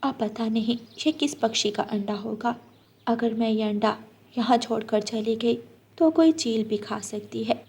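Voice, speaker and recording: A woman plays a caring cartoon mother bird. She speaks softly and tenderly in a high, slightly husky voice. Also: unhurried, exaggerated voice. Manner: soft-spoken, tender. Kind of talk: Hindi children's cartoon voice acting.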